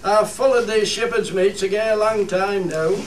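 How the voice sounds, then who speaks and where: A man's voice: high voice, normal speed, lightly acted. A man playing a hearty old shepherd who chairs a village meeting in a radio play, with a Cumbrian accent and a homely, rallying manner. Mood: businesslike, genial. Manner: homely, rallying, repeats phrases. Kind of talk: dialect radio play acting. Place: theatre studio. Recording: roomy, distant mic, faint noise.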